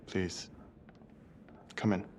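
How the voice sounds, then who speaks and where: A man playing a shaken forensic expert who speaks haltingly in careful, formal words in a dramatic video game. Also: noisy background. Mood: subdued. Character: shaken, precise male forensic expert, quietly awed and morbid.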